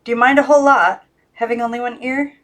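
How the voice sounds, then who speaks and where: A woman voicing a lonely young girl who speaks plainly and gently, in a storybook reading. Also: fairly high pitch, fairly even intonation, fast, voice pushed up, lightly acted. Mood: tender, caring. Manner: plain, gentle, short answers. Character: Maggie, lonely young girl, tender and matter-of-fact.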